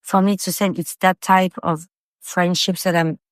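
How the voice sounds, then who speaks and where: A woman's voice: lowish voice, flat delivery, moderate pace, no room sound, clean studio sound. A woman from Paris, France, chatting casually as a guest on a podcast. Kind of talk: casual chat.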